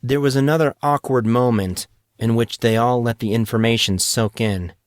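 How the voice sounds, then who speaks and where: A man narrates a story in a steady, even way, at a middle pitch. The recording is dry and close, with no room echo. He reads like an audiobook narrator. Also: moderate pace.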